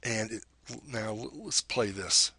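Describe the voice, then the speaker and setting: A man with a deep voice giving a screen-recorded software tutorial, explaining each step plainly and now and then correcting himself. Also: takes it slowly, breathy edge.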